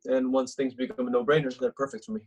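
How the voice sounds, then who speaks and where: A man's voice: medium pitch, little pitch movement, fast, a little mellow, breathy edge, natural voice. A man playing a cocky, oblivious young actor and ladies' man in a table read of a comedy script, with a breezy, boastful manner. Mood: smug.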